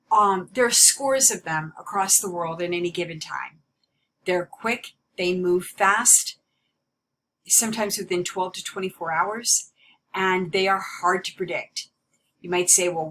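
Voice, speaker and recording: A woman giving an explanatory slide lecture as a teacher, stressing key words in a deliberate way. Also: medium pitch, bright, forceful tone, slight background noise, rough recording.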